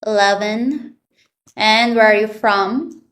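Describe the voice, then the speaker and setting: A woman's voice: medium pitch, fairly even intonation, slow pace, a bit bright. A woman from the Philippines teaching an online English class to children, asking patient prompting questions over a video call.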